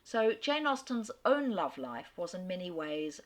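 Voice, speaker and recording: Middle-aged woman, literary speaker, fairly low, measured storyteller with dry wit. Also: sing-song. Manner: clear, measured storyteller with wry asides. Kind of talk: recorded talk on an author.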